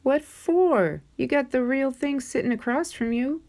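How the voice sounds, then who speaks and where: A woman voicing a warm, wise and sassy old grandmother in a children's storybook, upbeat and firm. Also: sits high, measured pace, pitched above the performer's own voice.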